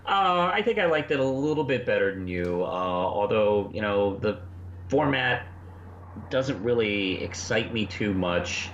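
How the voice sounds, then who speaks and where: Middle-aged male co-host, animated but halting casual chat, dull echoey lo-fi sound. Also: on the low side. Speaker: podcast co-host. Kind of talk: casual review discussion. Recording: muffled, echoey lo-fi connection, faint noise.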